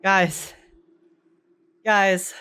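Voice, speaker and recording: A young woman streamer giving casual, animated reaction commentary on a game, slangy and thinking aloud. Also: medium pitch, very slow, smooth. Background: game music.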